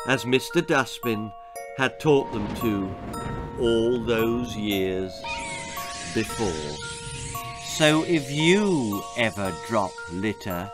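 An older man reading a grisly comic children's story in a theatrical, suspenseful manner. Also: medium pitch. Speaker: author reading his own story. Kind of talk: dramatic children's horror-comedy storytelling. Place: book-lined room indoors. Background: music.

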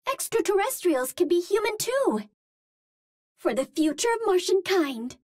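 A woman's voice: extremely high pitch, very animated, a little slow, no room sound, studio quality, exaggerated voice. A woman voicing a cute, earnest young Martian heroine in a video game, with precise, formal phrasing. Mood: earnest, polite. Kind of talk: video game character voice lines.

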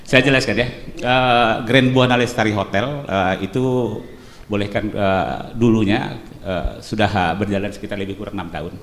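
A middle-aged man talks into a handheld mic as a guest, halting often with fillers. His voice rings in a roomy studio with a long reverb tail. A faint hiss sits underneath. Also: lowish voice, fairly bright tone. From Pesisir Selatan, West Sumatra. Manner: hesitant, many filler pauses, explanatory. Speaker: hotel director guest. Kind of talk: studio talk show interview. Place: television studio set.

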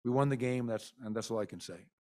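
Middle-aged male coach, postgame presser, candid and rambling, mid-pitched voice, desk mic in an arena press room. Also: fast talker, mellow. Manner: candid, rambling with many fillers. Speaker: basketball head coach. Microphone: desk mic in front.

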